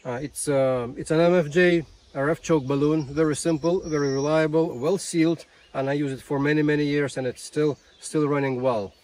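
A man gives a casual demonstration to camera in a halting way, repeating words, recorded outdoors in a field. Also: fairly high pitch, fairly even intonation, darkish tone. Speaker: ham radio vlogger. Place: outdoors in a grassy field beside a van.

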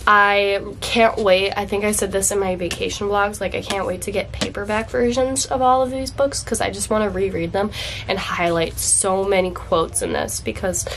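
A woman's voice: medium pitch, steady tone, moderate pace, fairly bright tone. Young woman, stuffy congested voice, chatty book vlogger, faint background hiss. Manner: chatty and gushing, with laughs and fillers. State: congested from a cold. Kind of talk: casual chat to camera. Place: dim indoor room.

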